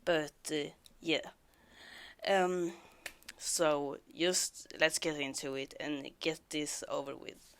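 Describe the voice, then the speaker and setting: A woman's voice: low voice, steady tone, slow pace, fairly bright tone, clear, resonant tone. A woman with a sore throat, talking casually and hesitantly with many fillers before reading a scary story aloud.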